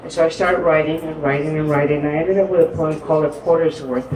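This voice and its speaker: A woman's voice: low-pitched, fairly even intonation, a little mellow, slight background noise. Woman poet telling her own story on a live stage, earnest and hesitant, recorded from afar in a roomy hall. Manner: halting, earnest, with frequent pauses and fillers. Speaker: poet and guest. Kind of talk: personal storytelling in a live interview. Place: live stage event in an echoey room. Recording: echoey room from a distance.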